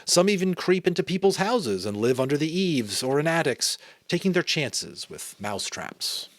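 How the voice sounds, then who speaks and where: A man's voice: a little high, big pitch swings, normal speed, dry recording. American man reading aloud, dry close sound. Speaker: amateur audiobook narrator. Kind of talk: dramatic storybook reading with casual asides.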